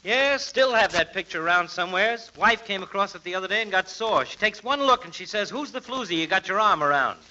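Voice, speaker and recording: Folksy American barber, chatty, opinionated, high sharp voice, vintage radio comedy, hissy recording. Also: male voice, animated, a little fast, few pauses, heavily acted. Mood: folksy rambling. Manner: garrulous, folksy. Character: chatty, opinionated, easygoing small-town male barber. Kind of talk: 1940s radio comedy acting. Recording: muffled old radio broadcast with hiss.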